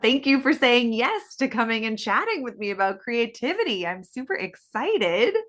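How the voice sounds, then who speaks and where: A woman with a fairly high voice hosts a podcast. She sounds upbeat and encouraging, welcoming her guest and asking questions with easy interest. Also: sing-song, moderate pace, fairly bright tone. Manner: upbeat and encouraging, laughs easily. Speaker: podcast host.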